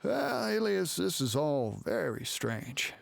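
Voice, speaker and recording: An actor playing a condescending professor in a video game, lecturing in a patronizing way. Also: male voice, a little high, very animated, slow pace, creaky, no echo, heavily acted. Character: condescending older male professor.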